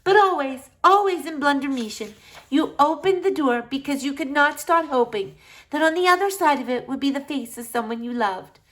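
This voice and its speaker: Woman voicing an effusive, high-pitched storybook lady doctor. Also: animated, fairly bright tone. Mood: nostalgic, joyful. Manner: drawn-out, exclamatory, sentimental. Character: effusive, tender foreign lady doctor, sentimental. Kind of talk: acted children's storybook dialogue.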